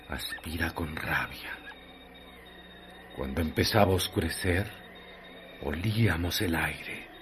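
Slow, low-voiced man narrating drama. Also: a little mellow, slight background noise. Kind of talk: literary radio drama narration.

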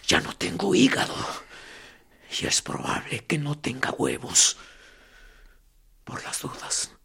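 An actor playing a tortured male prisoner in a radio drama, speaking in a hoarse whisper with morbid sarcasm. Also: a little high, wildly swooping pitch, slow pace, pushed and bright, full character voice. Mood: mocking.

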